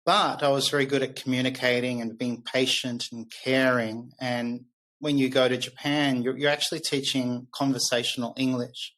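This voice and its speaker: A man's voice: mid-range voice, flat delivery, moderate pace, fairly bright tone, rough recording. A middle-aged man with an Australian accent telling personal stories conversationally as a podcast guest, candid and reflective.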